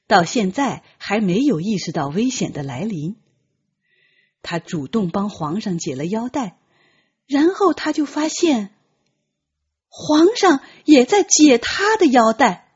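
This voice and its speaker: A woman reads a novel as a dramatic audiobook. Her voice is very husky and breathy, at a middle pitch with animated movement. The recording is slightly dull. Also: a little slow. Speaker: audiobook narrator. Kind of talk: dramatic scripted storytelling.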